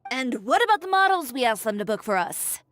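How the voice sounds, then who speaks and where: A confident boutique owner from a video game, blunt n brisk, voice a bit high, music behind. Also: female voice, big pitch swings, fairly bright tone, dry recording. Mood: taken aback. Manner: blunt, businesslike. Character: brisk, self-assured female fashion designer and shop owner. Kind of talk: scripted video game voice acting.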